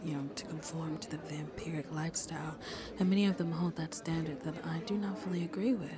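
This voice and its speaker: A woman playing a shy, gentle century-old vampire who seems in her twenties, soft-spoken and hesitant in an ASMR roleplay, often dropping to a near-whisper. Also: low-pitched, steady tone, a crowd in the background, natural voice. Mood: wistful. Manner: hesitant, apologetic, halting, breathy near-whispers.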